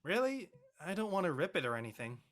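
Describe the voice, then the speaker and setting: A man's voice: high voice, big pitch swings, fast talker, fairly bright tone. A man playing an awkward, easily embarrassed teenage boy with a nervous, stammering delivery in a casual audio drama. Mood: sheepish.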